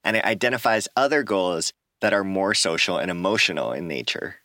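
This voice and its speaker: A man hosting a podcast, reading scripted narration in a clear, measured way. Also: sits low, animated, sharp tone, no echo, clean studio sound. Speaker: podcast host.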